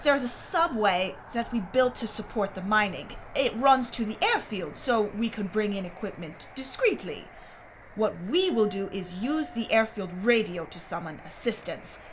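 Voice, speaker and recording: An actress playing a brisk, secretive mining executive in an audio drama, speaking in a precise, formal way. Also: female voice, medium pitch, animated, natural voice, rough recording. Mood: brisk, explanatory. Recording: clear background noise and ambience.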